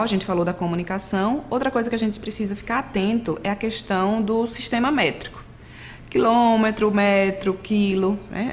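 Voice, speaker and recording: Brief: woman teacher, chatty recorded lecture, slightly muffled audio, faint hum behind. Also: medium pitch. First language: Portuguese. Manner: conversational, frequent tag questions, addresses students directly. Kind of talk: recorded distance-learning lecture.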